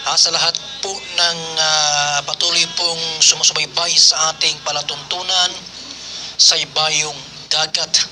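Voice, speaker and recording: Slow-talking Filipino male radio host, music behind. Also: fairly high pitch. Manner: slow, deliberate reading of names. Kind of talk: radio greetings to listeners.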